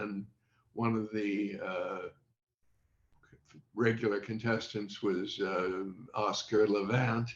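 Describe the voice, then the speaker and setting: An older man with a lowish voice recalls the past at a very unhurried, halting pace. He often stops mid-sentence as he searches through memories. The sound is dull and echoes around the room, as on a video call. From United States, Midwest. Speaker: interview guest recalling radio childhood. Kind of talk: unscripted reminiscence in an interview.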